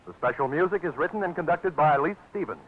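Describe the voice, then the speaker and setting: A man gives credits and promotions in a lively 1940s network announcer style. His voice is high and swings widely. The sound is tinny, with music behind him. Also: quick pace, very noisy. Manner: bright, lively promotional delivery. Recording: tinny old radio broadcast with music.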